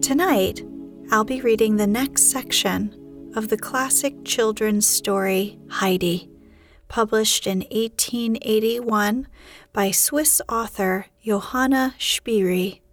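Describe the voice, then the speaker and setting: A woman hosting and narrating a bedtime podcast in a soothing, unhurried, hushed way. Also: on the high side, soft background music. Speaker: podcast host and bedtime story reader. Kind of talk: slow sleep-podcast narration.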